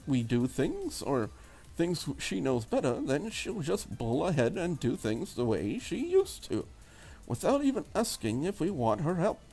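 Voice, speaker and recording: A man's voice: a little high, warm tone, slightly rough, exaggerated voice. A man voicing a preppy, critical young Englishwoman in a visual novel, prim and wordy, with an English accent.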